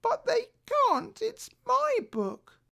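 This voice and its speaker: A woman voicing an excitable, stubborn, fretful little girl in a children's storybook, with childlike, insistent emphasis. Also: very high voice, very slow, vocal fry, voice pushed up, full character voice. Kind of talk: children's storybook read aloud.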